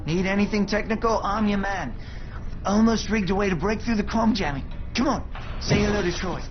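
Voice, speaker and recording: Actor as Savvy, eager high-pitched tech guy. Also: fairly quick, dull audio, slight background noise, natural voice. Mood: eager welcome. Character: Savvy, eager, friendly young male tech tinkerer. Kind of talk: video game cutscene acting.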